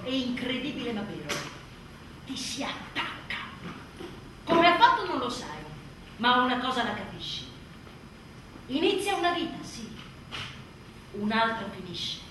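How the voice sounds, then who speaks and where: A woman's voice: fairly high pitch, very animated, measured pace. An actress playing an exhausted, sardonic new mother in a theatrical radio monologue, emphatic and full of sarcasm. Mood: wry, dark. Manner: emphatic, sarcastic, mimicking others mockingly. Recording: echoey room, distant mic, faint noise.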